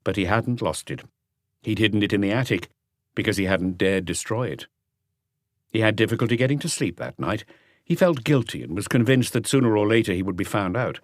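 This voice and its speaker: A man's voice: on the low side, animated, brisk, dry recording, studio quality. A man reading a mystery novel as an audiobook narrator in a scripted storytelling style.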